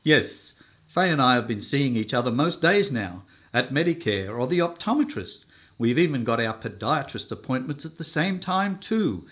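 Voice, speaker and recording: A man's voice: medium pitch, very animated, moderate pace, a bit bright. A man playing an eager, polite older suitor in a comic radio soap, earnest and ingratiating. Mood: lovestruck.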